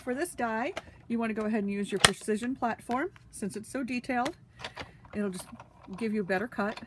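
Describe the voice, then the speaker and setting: A woman's voice: on the high side, a little fast, very noisy. A woman with a stuffy, sniffly nose, chatting casually with lots of fillers while she demonstrates a craft tool. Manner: talks while handling tools. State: congested, sniffly.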